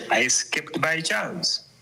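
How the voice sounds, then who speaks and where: A man speaking as a guest commentator over the phone in a live interview, earnest and reflective, halting with frequent restarts. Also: fairly high pitch, expressive, creaky, sharp tone, rough recording. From Zambia.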